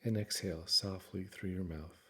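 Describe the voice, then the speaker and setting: A man narrating a guided meditation in a calm, soothing, measured way, with frequent pauses. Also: sits low.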